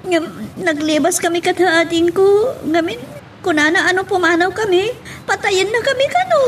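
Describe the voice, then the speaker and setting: A woman's voice: very high-pitched, normal speed, slight background noise. A woman playing a frightened, tearful young child in a radio drama, with an Ilocano accent. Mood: upset, pleading.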